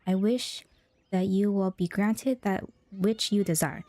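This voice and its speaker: A woman's voice: mid-range voice, a little slow, dark tone, dry recording. A woman game streamer giving casual playthrough commentary and reading game text aloud. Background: game music.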